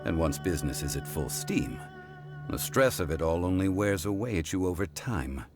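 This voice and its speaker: A man playing a calm, refined, world-weary gentleman sage in a video game, speaking in a measured, formal way. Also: deep voice, over music. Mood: reflective.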